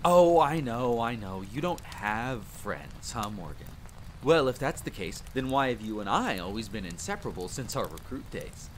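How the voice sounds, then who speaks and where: The young recruit who chats up every stranger at a rainy army camp in a fantasy audio play a man acting, lively and eager, voice leaping about over the patter. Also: moderate pace, close to an everyday voice. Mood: friendly, chatty. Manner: talkative, eager, bantering. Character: friendly, chatty, excitable young male soldier, fantasy spearman. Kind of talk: immersive roleplay audio drama addressed to the listener. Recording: constant rain and rumble behind voice. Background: rain, campfire ambience, rolling thunder.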